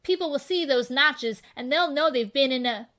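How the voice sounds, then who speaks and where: A woman's voice: sits high, normal speed, bright, forceful tone. A woman voicing a cocky, eager young runaway boy in a western radio drama, boastful and rustic in speech. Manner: rustic, boastful, folksy drawling grammar. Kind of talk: old-time western radio drama acting.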